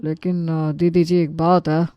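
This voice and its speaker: Lady doing a nervous respectful relative in a storybook, polite and pleading, slightly low voice, dry recording. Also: little pitch movement, unhurried, dry recording, muffled recording. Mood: anxious pleading. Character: anxious, deferential younger relative pleading with a bossy elder. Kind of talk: Hindi storybook character acting.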